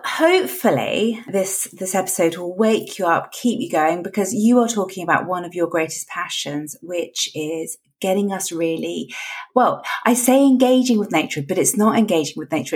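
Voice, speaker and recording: A woman's voice: on the low side, husky. A woman hosting a podcast, asking questions with enthusiasm. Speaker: podcast host. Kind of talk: interview hosting.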